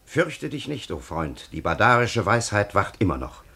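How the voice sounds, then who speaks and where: An actor in a German radio play voicing a grandiose, fearless warrior commander from another age, solemn and declamatory. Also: male voice, lowish voice, sing-song, moderate pace, creaky, sharp tone, breathy edge, heavily acted. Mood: lofty, assured.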